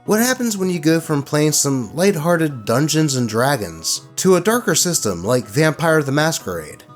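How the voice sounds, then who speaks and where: A man narrating online horror stories as host, reading scripted posts aloud in an expressive, dramatic way. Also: fairly high pitch.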